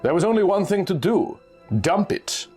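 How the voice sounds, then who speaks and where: A narrator, a man, puts on a shrewd, confident Austrian ex-soldier from a pulp adventure. He speaks deliberately and conspiratorially, pitched a little high with lively swings, over faint music. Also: breathy edge. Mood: confiding. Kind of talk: dramatic reading of pulp magazine dialogue.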